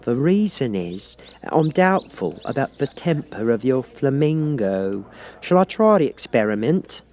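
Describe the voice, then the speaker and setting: A man performs an old storybook Duchess, pitched up and swooping widely. She is cloying and pompous, forever moralizing, and stretches out her exclamations with clingy affection. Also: very animated, measured pace, a little mellow. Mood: gushing, moralizing. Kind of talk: audiobook character voice.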